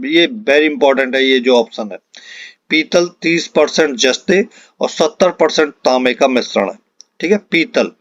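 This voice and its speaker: A man teaching exam prep, reading out quiz questions and answers in an instructional way with deliberate repetition. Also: medium pitch, no echo. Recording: dull, lo-fi close dry recording.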